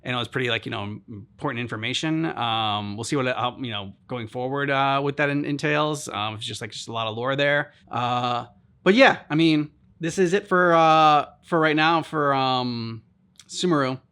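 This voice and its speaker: A man's voice: medium pitch, bright, forceful tone, smooth. Casual, rambling man hosting reactions. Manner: halting, rambling, frequent filler words. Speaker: reaction video host. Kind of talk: casual chat commentary.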